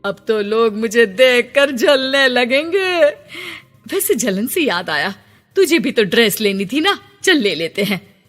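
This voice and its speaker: A woman's voice: fairly high pitch, big pitch swings, a little slow, a bit bright, in a large hall, faint music behind. Woman putting on a vain, bossy mother-in-law. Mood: smug. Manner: boastful, ordering, dramatic. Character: vain, bossy, self-absorbed middle-aged Indian mother-in-law.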